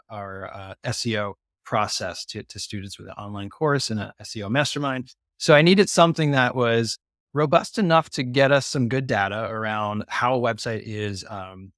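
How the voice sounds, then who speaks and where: A man explains things in a relaxed, informal way as a podcast guest. His pitch is average for a man. The recording is dry and close, free of echo. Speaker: guest presenter of a tool tip. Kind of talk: casual explanatory talk.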